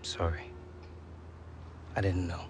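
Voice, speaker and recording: An actor playing an earnest, self-doubting young professional footballer in a video game drama, speaking hesitantly. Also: male voice, sits low, flat delivery, slow. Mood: hesitant, worried. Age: young adult. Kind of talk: naturalistic game cutscene dialogue. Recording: faint ambient noise behind.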